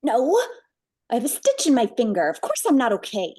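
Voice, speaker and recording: High, husky, anxious beauty queen played by a woman. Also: huge pitch swings, normal speed, warm tone, heavily acted. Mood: pained, upset. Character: anxious, complaining young female beauty queen. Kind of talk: screenplay table read acting.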